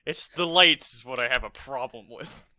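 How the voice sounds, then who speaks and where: A man co-hosting a casual podcast, blunt, argumentative and quick to swear. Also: mid-range voice, pushed and bright. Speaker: podcast co-host. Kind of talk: casual chat, movie review.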